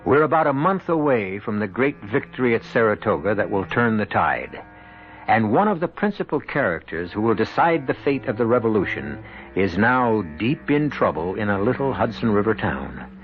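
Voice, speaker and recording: An actor as a sly, teasing storyteller host, narrating a radio drama theatrically. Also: male voice, fairly low pitch, very animated, moderate pace. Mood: wry, suspenseful. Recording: dull 1970s radio recording with music.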